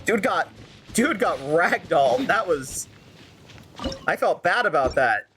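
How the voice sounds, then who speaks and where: A woman's voice: fairly low pitch, very animated, slow pace, noisy background. A young woman giving casual live gameplay commentary as a streamer, musing aloud in a rambling way. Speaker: game streamer. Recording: stream with faint game music behind.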